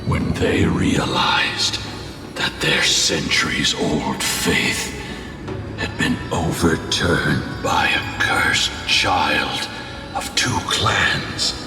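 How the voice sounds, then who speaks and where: A man playing a solemn ancient warrior spirit in a fantasy video game, speaking in a grave, measured, formal manner. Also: very deep voice, full character voice. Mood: grim, haunted. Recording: very echoey distant hall, music behind.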